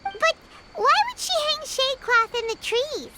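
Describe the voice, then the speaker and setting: Tiny fairy girl, squeaky childlike voice, extremely high, slow delivery, inquisitive game sidekick. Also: bright, forceful tone, slight background noise, heavily acted. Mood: puzzled, surprised. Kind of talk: video game voice acting.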